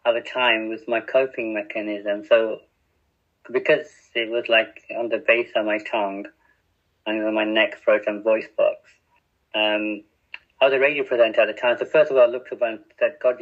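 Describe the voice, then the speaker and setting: A middle-aged man from England, speaking openly and reflectively as a podcast guest, often restarting his sentences and laughing at himself. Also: lowish voice. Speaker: podcast guest, radio community editor. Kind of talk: candid conversational interview. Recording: tinny phone-like line.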